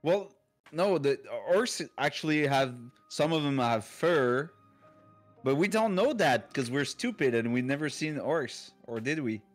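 A man's voice: fairly high pitch, lively intonation, unhurried, faint music behind. A man with a French Canadian accent playing a tabletop game over a video call, joking and laughing often. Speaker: player.

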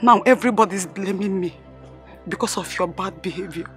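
A woman's voice: medium pitch, fairly quick, over music, close to an everyday voice. A woman playing a mother who scolds and pleads with her wayward daughter. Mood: scolding. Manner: scolding, pleading, near tears.

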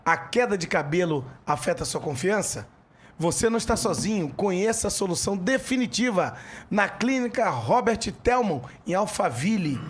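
Brief: energetic middle-aged Brazilian host, slightly high voice, studio handheld mic. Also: male voice. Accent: Brazilian Portuguese. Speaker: podcast host. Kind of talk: casual podcast talk with live ad reads. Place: podcast studio.

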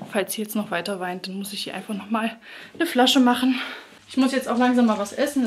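A woman's voice: on the high side, background noise. A woman in her thirties chatting casually in a daily family vlog, recorded at home on a camera at arm's length. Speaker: family vlogger, mother of three. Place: furnished rooms at home, indoors. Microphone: handheld or propped camera at arm's length.

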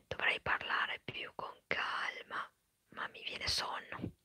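A woman whispering quickly and chattily in an ASMR live stream, answering viewers' comments. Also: pushed and bright, no room sound, studio quality. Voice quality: breathy whisper. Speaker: ASMR creator.

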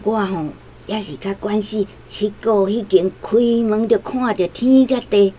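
A woman's voice: mid-range voice, fairly even intonation, a little slow, warm tone, a little hiss or hum, lightly acted. Stubborn old Taiwanese grandmother, acted by a woman. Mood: grumbling. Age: older. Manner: plaintive, exclaiming, complaining. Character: stubborn, anxious, grumbling old Taiwanese grandmother living alone. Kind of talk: radio drama acting.

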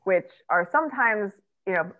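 A woman's voice: mid-range voice, lively intonation, moderate pace, dry recording. A woman in her thirties answering questions over a video call from a room at home, talking with many fillers and restarts and gesturing as she goes. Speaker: keynote guest on tech ethics. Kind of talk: conversational Q&A answer. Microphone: earbud headset on a video call. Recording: dry, tinny video-call audio.

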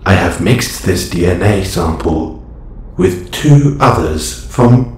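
A man playing a calm, formal robot superhero in an audio roleplay, speaking in stilted, measured phrases. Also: low-pitched, heavily acted. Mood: firm, guarded. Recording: roomy echo, slight background noise.

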